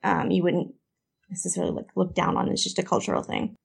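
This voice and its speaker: A woman's voice: fairly low pitch, expressive, quick pace, vocal fry, husky. A woman with a Canadian accent hosting a podcast discussion, chatty and prone to long tangents. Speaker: podcast host.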